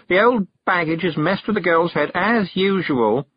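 Guy putting on a snooty teen witch girl, disdainful, raised voice pitch, storybook. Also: normal speed, breathy edge, muffled recording. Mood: scornful exasperation. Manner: exasperated, disdainful. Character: scornful, haughty teenage girl witch.

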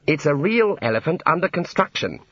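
A man voicing a raised-pitch, exasperated young wizard. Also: big pitch swings, a little fast, a bit bright, breathy edge, dry recording, highs cut off, heavily acted. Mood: awkward. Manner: hesitant, gloomy, long-suffering. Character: young wizard scholar, earnest, exasperated, awkwardly polite.

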